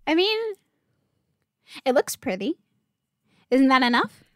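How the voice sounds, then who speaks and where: A woman's voice: sits high, very animated, slow, dry recording, clean studio sound, exaggerated voice. A woman playing a naive, earnest young girl herbalist in a fantasy audio drama. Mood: bubbly, eager. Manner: childlike, earnest, guileless.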